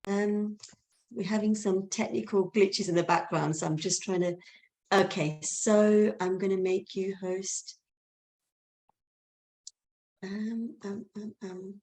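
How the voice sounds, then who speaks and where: Woman, event organiser, slow, mellow tone, hesitant, lots of ums. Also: medium pitch, steady tone. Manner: distracted, hesitant, many fillers. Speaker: event organiser handling the call. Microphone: video call.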